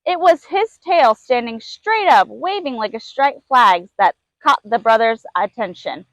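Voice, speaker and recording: A woman's voice: a little high, animated, a little slow, fairly bright tone, no room sound, poor audio. A woman reading a children's storybook aloud as a narrator. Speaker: zoo keeper reading a story.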